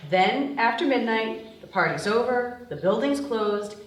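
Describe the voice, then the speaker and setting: A woman's voice: a little high, animated, unhurried, a little hiss or hum. Casting: woman acting teasing young female crew leader, table-read delivery, very reverberant and off-mic. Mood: businesslike. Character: Violet, playful, teasing young woman leading a heist crew. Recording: echoey room, distant microphone.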